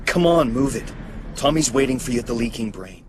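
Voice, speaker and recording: An actor playing a brisk, reassuring underground guide in a video game cutscene. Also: male voice, medium pitch, lively intonation, over loud music, natural voice. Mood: urgent.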